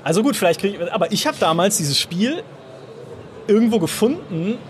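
A man's voice: high-pitched, animated, normal speed, a little hiss or hum. A man hosting a casual chat at a trade fair, friendly and arguing in jest. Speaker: host. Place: busy trade fair floor.

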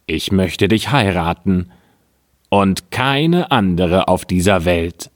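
Earnest gentle king, read by a man, measured, low, slow, storybook. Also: lively intonation, dry recording, clean studio sound.

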